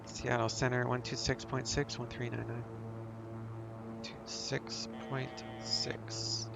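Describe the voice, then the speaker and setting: A man streaming a flight simulator, making radio calls and calmly talking himself through cockpit steps. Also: medium pitch, slow pace, vocal fry, over loud music. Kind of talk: casual sim commentary with radio calls.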